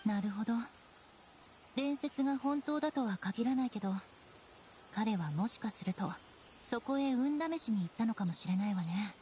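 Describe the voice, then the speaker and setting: A woman playing a cool, proud young knight in an anime game, poised and matter-of-fact in her measured delivery. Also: on the high side, lively intonation, mellow, a little hiss or hum, natural voice. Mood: pensive. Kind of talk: Japanese anime game acting.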